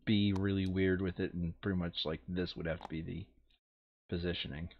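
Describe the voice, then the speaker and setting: A man's voice: low-pitched, level tone, normal speed, lo-fi sound, a little hiss or hum. A man running a board game playthrough in casual, crude, joking chat, now and then reading game text aloud. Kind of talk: casual gaming chat with bits of read-aloud flavour text.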